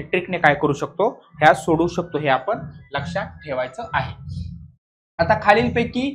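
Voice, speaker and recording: A male exam coach with a fairly high voice explains material as in a classroom. He is didactic and repeats the important points, often putting brief rhetorical questions to his students to make sure they follow. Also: a bit bright. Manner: didactic, repetitive, checking understanding with rhetorical questions.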